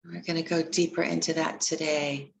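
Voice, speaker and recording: A woman leading a guided meditation, giving gentle, unhurried instructions with long pauses between phrases. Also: deep voice, flat delivery, creaky, slight background noise.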